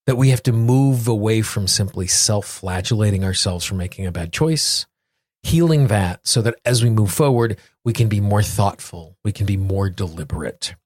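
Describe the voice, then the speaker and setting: A man hosting a solo podcast, speaking earnestly in deliberate phrases, repeating words for emphasis. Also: fairly low pitch, breathy edge, no room sound, studio quality. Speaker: podcast host. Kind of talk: solo podcast talk with guided affirmations.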